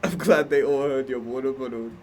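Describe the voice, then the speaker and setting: A young man in casual podcast chat, loud and skeptical, quick to push back. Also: medium pitch. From Australia. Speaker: podcast host.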